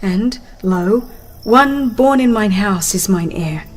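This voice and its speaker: A troubled old patriarch played by a woman pleads in a slow, questioning way. It is dramatized scripture storytelling, with clear music underneath. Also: medium pitch, expressive, low-quality recording. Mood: troubled pleading. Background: background music.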